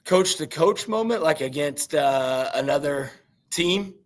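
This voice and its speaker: A man with a naturally raspy voice and a Midwestern American accent, a young high school football coach giving rambling interview answers full of fillers. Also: expressive, a little slow. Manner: rambling, many fillers and restarts.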